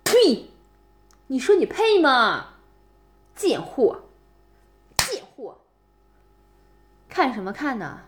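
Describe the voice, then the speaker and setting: A woman's voice: high voice, huge pitch swings, slow pace, long pauses, fairly bright tone, a little hiss or hum, exaggerated voice. A woman voicing a scornful young woman who mockingly berates her ex-boyfriend in a one-voice audio roleplay, sneering and full of insults. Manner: sneering, mocking, profane, keeps taunting with "啊？".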